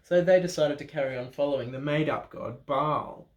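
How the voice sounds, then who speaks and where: A man reading a children's Bible story aloud in an animated way, with dramatic pauses, expressive emphasis and playful asides. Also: on the high side, muffled recording. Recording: roomy, echoing room sound.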